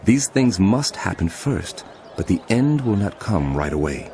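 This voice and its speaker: An actor playing a solemn teacher warning his followers, speaking with grave, emphatic and deliberate phrasing in a dramatic scripture reading. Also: low voice, sing-song, darkish tone, exaggerated voice. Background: music.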